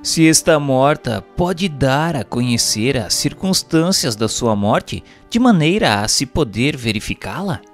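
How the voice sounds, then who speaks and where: A man's voice: medium pitch, sing-song, unhurried, hardly any pauses. A man reading a script as narrator and questioner, in a formal, declamatory way. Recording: long hall reverb. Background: faint music.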